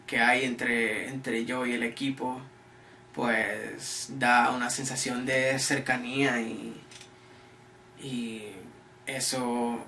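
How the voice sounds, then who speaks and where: A somewhat distant recording with faint noise carries a man. His voice is bright and a bit creaky, and he answers interview questions reflectively, pausing mid-phrase. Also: mid-range voice. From Spain. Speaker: artist, animator. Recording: distant mic, noisy room ambience.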